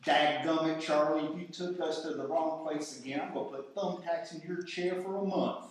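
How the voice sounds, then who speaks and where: A man's voice: fairly high pitch, steady tone, moderate pace, natural voice. A man playing a grumpy, folksy, long-suffering FBI agent in a comic radio play, blustering as he explains. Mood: irritated. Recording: echoey room, distant mic, faint noise.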